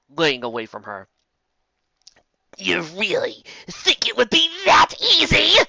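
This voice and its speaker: A man reading fantasy fiction aloud as an expressive amateur storyteller, now and then stumbling over a word. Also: mid-range voice, dry recording, highs cut off. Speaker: story narrator.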